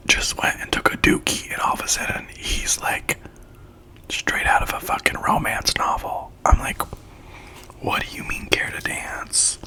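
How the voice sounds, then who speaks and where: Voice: sharp tone, low-quality recording. A speaker whispering a slangy, chatty storytime in ASMR style while eating, acting out quoted lines along the way.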